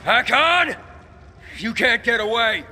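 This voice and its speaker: An actor playing an earnest, determined younger wanderer in a dramatic video game cutscene, insistent and questioning. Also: male voice, very high-pitched, expressive, slow pace, bright, forceful tone, slight background noise, full character voice. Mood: angry, demanding. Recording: music behind the voice.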